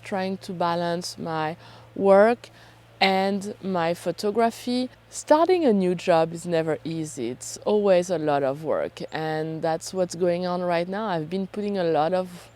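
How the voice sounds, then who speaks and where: A woman, hoarse from a cold, talking casually and chattily to camera as a self-deprecating vlog host. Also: lowish voice, measured pace, a little mellow. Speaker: photography vlogger and host.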